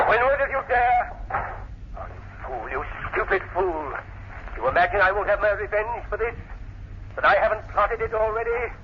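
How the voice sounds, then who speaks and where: An arrogant, villainous professor bent on revenge, speaking with theatrical menace and grandeur. His pitch sits a little high for a man. It comes through a muffled old radio recording with faint noise. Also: expressive, normal speed. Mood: furious. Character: arrogant, vengeful villainous male professor plotting murder. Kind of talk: 1940s radio drama acting.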